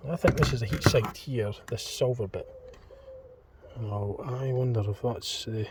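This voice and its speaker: A man thinking aloud in an unscripted hands-on demonstration, speaking in a hesitant, tentative, halting way. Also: medium pitch. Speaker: hobbyist demonstrator in a homemade teardown video. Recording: distant mic, clearly noisy homemade recording.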